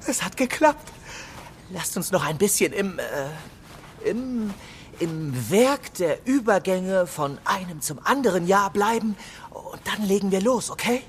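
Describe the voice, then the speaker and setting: A woman's voice: medium pitch, lively intonation, measured pace, slight background noise, lightly acted. A woman playing a decisive young toy figure who leads the gang in a children's audio drama, commanding and exclamatory.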